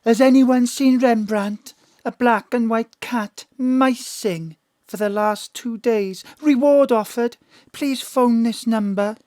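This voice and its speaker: A woman voicing a forlorn pet owner pleading in an audio drama, in a plaintive, deliberate manner. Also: on the high side, darkish tone, dry recording, studio quality, voice pushed up.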